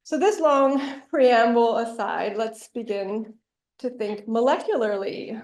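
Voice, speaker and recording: A woman giving an academic lecture, a little hoarse from bronchitis, thoughtful and pausing often with ums. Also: a little high, darkish tone. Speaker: guest lecturer. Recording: echoey lecture room.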